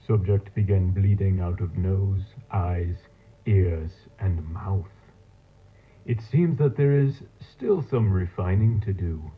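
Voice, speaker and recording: A tinny, narrow-band tape log carries the low voice of a male mad scientist, played by an actor. He dictates in a formal, measured, coldly clinical manner, as in an analog horror audio drama.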